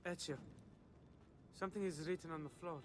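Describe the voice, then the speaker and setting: A man's voice: fairly high pitch, fairly even intonation, fairly quick, a little hiss or hum, close to an everyday voice. A man voicing a cheeky young Italian apprentice with an Italian accent in a video game, glib and irreverent. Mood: alarmed. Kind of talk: video game cutscene acting. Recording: music behind the voice.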